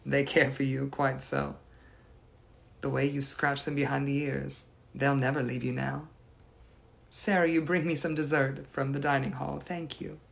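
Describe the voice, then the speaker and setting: A man's voice: mid-range voice, level tone, unhurried, vocal fry. A man voicing the ghost of a young lady from the 1880s, speaking in a formal, old-fashioned and gracious manner. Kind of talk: improvised live roleplay answering viewers.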